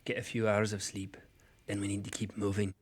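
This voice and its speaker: A man's voice: lowish voice, flat delivery, a little fast, slightly rough. A man playing a stern male knight who guides a group and gives curt commands.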